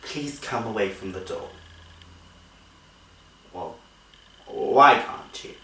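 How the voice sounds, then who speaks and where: A genteel nursery teacher played by a man in a theatrical comic monologue. The delivery is animated and singsong, coaxing sweetly, then scolding with thinly held patience. It is recorded at a distance in an echoey room. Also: fairly low pitch, slow, a little hiss or hum, exaggerated voice. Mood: firm, exasperated. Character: genteel, strained-cheerful female nursery teacher, patient but exasperated.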